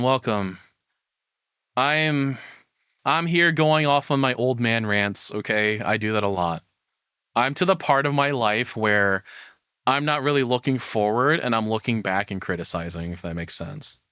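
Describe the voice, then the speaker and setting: A man's voice: medium pitch, a little slow, sharp tone, clear, resonant tone, no echo, studio quality. A man streaming a casual, opinionated rant, rambling and self-deprecating as he chats with viewers. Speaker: streamer and VTuber host.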